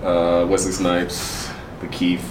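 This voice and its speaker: A man's voice: sits low, monotone, slow. A young man who is an actor and martial artist, appearing as an interview guest. He talks in a laid-back, playful way and often repeats his phrases. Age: young adult. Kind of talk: casual chat. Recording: echoey room with noticeable background noise.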